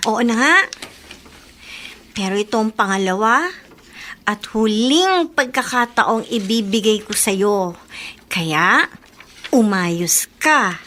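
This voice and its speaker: A woman with a Filipino accent playing a sweet, coy younger sister in a radio drama, expressive and playful. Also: mid-range voice, slow pace, pauses often, slight background noise. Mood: coy, stern. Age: young adult. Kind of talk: Tagalog radio drama acting.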